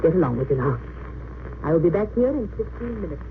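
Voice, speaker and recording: A woman playing a composed, resolute head nurse in a 1930s radio drama, speaking with firm, measured dignity. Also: fairly low pitch, sing-song. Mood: firm, urgent. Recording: tinny, noisy old radio recording.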